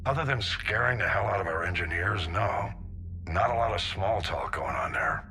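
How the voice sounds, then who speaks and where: An actor playing a gruff, seasoned older navy admiral in a video game, blunt and authoritative. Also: male voice, deep voice, moderate pace, sharp tone, slight background noise. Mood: grim, frank. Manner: blunt, authoritative military briefing delivery. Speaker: military admiral. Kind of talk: scripted video game acting.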